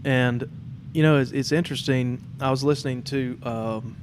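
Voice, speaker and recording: A man's voice: mid-range voice, fairly even intonation, moderate pace, slight background noise. A man hosting a podcast in a casual, conversational interview style. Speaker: podcast host.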